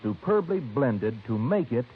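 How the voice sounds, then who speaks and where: A man's voice: mid-range voice, sing-song, unhurried, husky. A man reading a cigarette advertisement as a polished 1950s radio announcer. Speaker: commercial announcer. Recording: tinny vintage radio recording.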